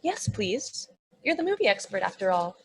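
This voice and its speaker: A woman as an insecure, husky young teen demon. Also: mid-range voice, sing-song, moderate pace. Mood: earnest, eager. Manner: timid, apologetic, stammering. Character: insecure thirteen-year-old non-binary humanoid demon, timid and eager. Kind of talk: comedy script table read.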